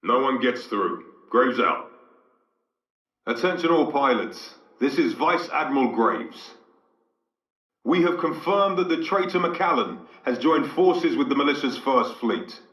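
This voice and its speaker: An actor playing a stern, commanding vice admiral in a video game, giving clipped, authoritative orders over a radio comms filter. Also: male voice, mid-range voice, expressive, measured pace, a bit bright, slightly rough, dry recording. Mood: formal, resolute. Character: stern military vice admiral, grave, commanding fleet officer. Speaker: military commander character. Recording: dull band-limited radio sound.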